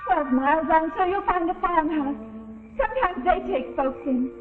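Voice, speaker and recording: A woman's voice: very high voice, lively intonation, normal speed. A woman playing a firm servant girl at an inn, politely turning people away in an old 1940s television suspense drama. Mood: polite, unyielding. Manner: deferential but unyielding, formulaic politeness. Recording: tinny, echoing 1949 television soundtrack with music.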